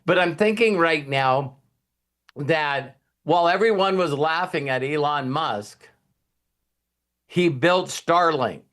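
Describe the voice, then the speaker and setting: A man's voice: fairly high pitch, slow pace, fairly bright tone. A man hosting a casual motivational talk in a rambling, folksy, anecdotal way. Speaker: host of a motivational team talk.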